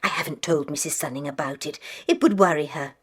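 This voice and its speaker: A woman's voice: low-pitched, brisk, husky. An actress playing a kindly, fretful older lady's companion in a children's audio drama, earnest and emphatic. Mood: troubled, earnest.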